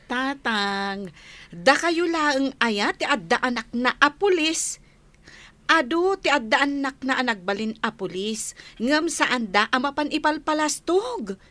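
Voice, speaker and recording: A woman's voice: high-pitched, expressive, measured pace, pushed and bright, no room sound, full character voice. An actress playing a stern wife in a radio comedy drama, firmly admonishing her boastful husband. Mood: reproving. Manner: firm, admonishing.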